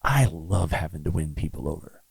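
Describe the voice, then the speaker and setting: A man with a low, raspy voice talks bluntly and at length, spinning stories as a podcast guest. The sound is dry and close, with no room around it. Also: lively intonation, normal speed, no echo. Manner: blunt, chatty storyteller. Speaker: musician guest. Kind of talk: casual podcast interview.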